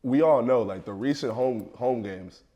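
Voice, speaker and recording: A man's voice: medium pitch, normal speed, mellow, slight background noise. A young man, a college football player, talking in casual chat, hesitant and often repeating and restarting his phrases. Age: young adult.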